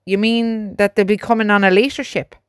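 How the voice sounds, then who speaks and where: A woman's voice: medium pitch, steady tone, fairly quick, clear, resonant tone, no echo, studio quality, close to an everyday voice. A woman reading aloud, voicing an indignant male army sergeant who pleads for his horses. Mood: pleading. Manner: pleading, rising to outrage.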